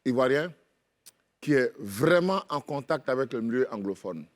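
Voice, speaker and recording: A man's voice: mid-range voice, lively intonation, normal speed. A man with an Ivorian French accent hosting a TV chat show, teasing his guest with playful wordplay. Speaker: TV show host.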